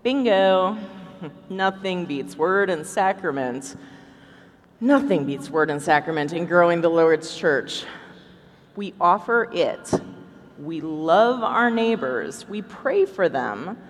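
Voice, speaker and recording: A woman's voice: lowish voice, lively intonation, takes it slowly. A woman podcast host speaking on stage in a live show at a convention. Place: large indoor convention hall. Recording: echoey convention hall, background noise.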